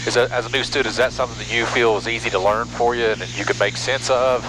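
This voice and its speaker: Loud aircraft drone surrounds an American man of middle age with a medium-pitched voice. He talks casually into a headset from a small cockpit, explaining things like a vlog host as he flies. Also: fairly even intonation, quick pace. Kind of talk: casual explanatory vlog talk while flying. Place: cockpit of small propeller airplane. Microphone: aviation headset mic. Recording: loud aircraft engine hum, headset intercom.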